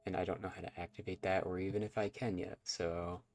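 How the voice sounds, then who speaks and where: A man's voice: deep voice, level tone, fairly quick, over music. A man with a nasal, stuffy voice, rambling and self-conscious as he chats through a live game stream. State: stuffy nose from allergies.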